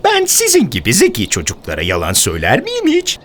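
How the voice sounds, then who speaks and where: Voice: mid-range voice, wildly swooping pitch. An actor voicing a mischievous, teasing trickster in a children's cartoon, theatrical and mocking. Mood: sly, flattering. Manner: theatrical, mocking, drawn-out emphasis.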